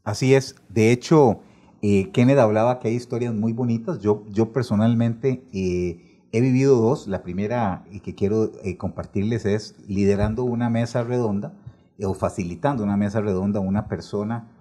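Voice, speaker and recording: A man speaking deliberately as a podcast guest, in a motivational conversational style with repeated phrases for emphasis. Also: on the low side, mellow. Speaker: podcast guest, programme facilitator.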